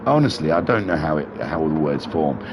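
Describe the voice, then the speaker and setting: A man with a head cold and a nasal voice, excitedly commentating a sim race with jokey, rambling asides. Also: a bass voice, fairly quick, background noise. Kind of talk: live sim racing commentary.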